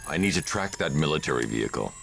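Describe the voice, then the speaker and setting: Casting: grim game vigilante, extremely low and gravelly, terse and intimidating. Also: male voice, big pitch swings, fast talker, noisy background, heavily acted. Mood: stern, focused. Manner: terse, commanding, threatening.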